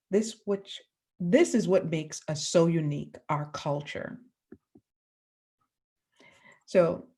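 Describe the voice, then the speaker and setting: Slow-spoken Bahamian-born woman teacher. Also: lowish voice, dark tone. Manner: slow, questioning, pauses for class answers. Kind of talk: classroom lesson to children.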